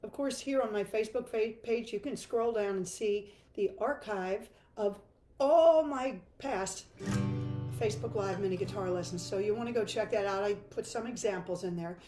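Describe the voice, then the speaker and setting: An older woman with a hoarse, froggy voice, teaching a chatty guitar lesson live from her home music room. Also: mid-range voice, normal speed, dark tone, roomy sound. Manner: chatty, self-deprecating, laughs easily. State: hoarse from laryngitis.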